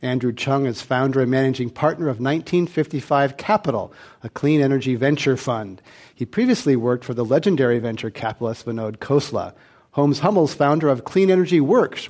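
A man's voice: mid-range voice, lively intonation, darkish tone. Man hosting a live panel. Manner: clear and measured, scripted introductions. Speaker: host. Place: stage before a live audience.

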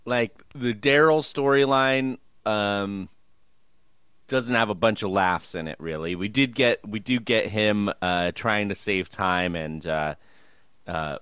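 Smooth, slightly low, unhurried male co-host. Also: fairly bright tone, dry recording, clean studio sound. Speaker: podcast co-host. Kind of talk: casual chat.

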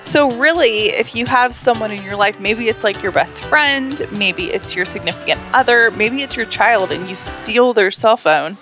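A woman's voice: a little high, normal speed, hardly any pauses, music in the background. A woman co-hosting a podcast, chatting casually and poking fun at herself. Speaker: podcast co-host. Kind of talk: casual chat.

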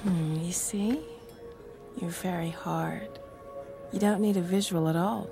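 A woman's voice: lowish voice, fairly even intonation, slow, creaky, over music. An actress playing a confident, teasing, seductive woman in an intimate audio drama.

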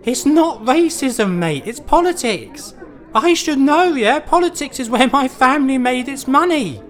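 A man's voice: extremely high pitch, lively intonation, unhurried, fairly bright tone, pitched above the performer's own voice, heavily acted. A man voicing a brash, crude young lad who swears and says mate a lot.

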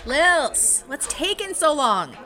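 An actress playing an impatient, playful bar patron in a scripted audio drama. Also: female voice, high-pitched, very animated, slow, pushed and bright, heavily acted.

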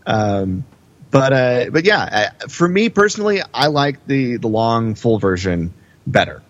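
Halting male podcaster, dull lo-fi sound. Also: medium pitch, sing-song, dry recording. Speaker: podcast co-host. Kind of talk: casual podcast chat.

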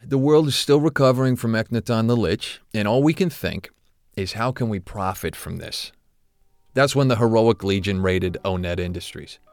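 Man playing a low-voiced, guilt-ridden retired executive. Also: no room sound, studio quality, lightly acted. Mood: grim, reflective. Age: older. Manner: hesitant, confessional, halting. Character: guilt-ridden retired male corporate executive, rationalizing and weary. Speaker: interviewee. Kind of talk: naturalistic audio drama interview.

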